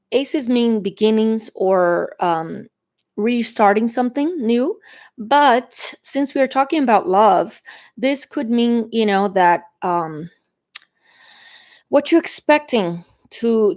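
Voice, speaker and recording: A woman's voice: on the high side, darkish tone. A woman from Brazil with a Brazilian Portuguese accent, Portuguese her first language, chatting her way through a tarot reading and often tagging "okay?". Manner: chatty, frequent "okay?" tags and fillers. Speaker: tarot reader.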